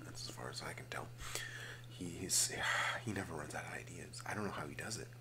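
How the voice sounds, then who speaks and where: A man hosting a casual, rambling ASMR video, slipping between vocal fry and whispering and often repeating his phrases. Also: rumbling low, fairly quick. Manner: alternates hushed fry and whispering.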